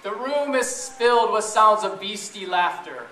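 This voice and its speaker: A man's voice: sits high, animated, measured pace, pushed and bright. A man reading aloud and talking to a group of families in a theatrical, playful way, often putting questions to his listeners. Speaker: children's book reader and blogger. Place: large echoey indoor room at a school event. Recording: echoey noisy room, distant mic.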